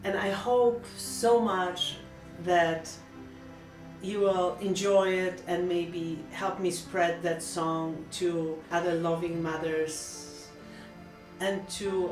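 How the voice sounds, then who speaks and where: A middle-aged woman with a Polish accent, speaking in a gentle, earnest and reflective way in a heartfelt personal vlog. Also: mid-range voice, roomy sound, distant mic, low-quality recording. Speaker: vlogger.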